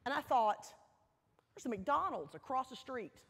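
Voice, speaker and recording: A woman giving a motivational speech, telling a personal story deliberately, with dramatic pauses and emphatic repetition. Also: sits high, animated, breathy edge. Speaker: keynote speaker. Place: large hall, speaking to an audience. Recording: echoey hall, long reverb.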